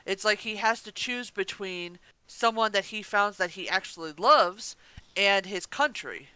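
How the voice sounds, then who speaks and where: A woman's voice: medium pitch, animated, measured pace, bright, forceful tone, clean tone, no echo, low-quality recording. A woman making her points in a casual review discussion as a podcast reviewer.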